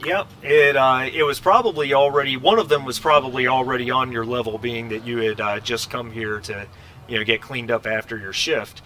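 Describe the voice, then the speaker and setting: Male tabletop game master, middle pitch, bright tone, halting improvised narration, casual, slight background noise. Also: fairly even intonation.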